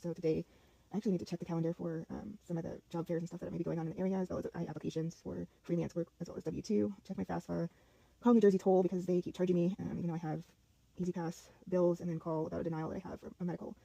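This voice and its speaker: A woman in her thirties with a hoarse voice, rambling casually through a day-in-the-life vlog at home, with many ums and restarts. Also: on the low side, dark tone, poor audio. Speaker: vlogger. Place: at home, kitchen and house.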